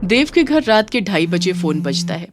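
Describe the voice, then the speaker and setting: A woman's voice: lowish voice, sing-song, normal speed, slight background noise. A woman reading scripted storytelling narration for a melodrama. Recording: music bed behind the voice. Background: background music.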